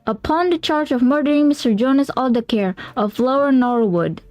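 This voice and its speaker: A woman's voice: high-pitched, normal speed, a little mellow, no echo, soft background music, pitched above the performer's own voice, close to an everyday voice. A woman voicing a frantic, pleading young male solicitor who talks in a rush and sounds breathless. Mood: anxious.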